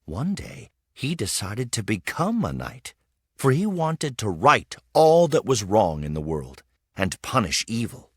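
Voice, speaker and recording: A man reading a children's story aloud as scripted narration, with expressive, dramatic storytelling emphasis. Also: on the low side, measured pace, slightly rough, no echo, studio quality. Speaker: storybook reader.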